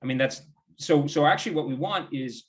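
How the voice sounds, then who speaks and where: An American man speaks as a foreign policy expert being interviewed. His delivery is discursive and halting, as he qualifies and rewords his points in informal discussion. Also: on the high side. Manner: discursive, halting, qualifies and rephrases himself often.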